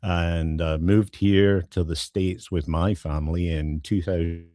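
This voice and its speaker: A middle-aged or older man with a Scottish accent, talking politely and with measured pauses as an interview guest. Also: low voice, steady tone, mellow, no room sound, clean studio sound. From Scotland. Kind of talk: conversational personal interview.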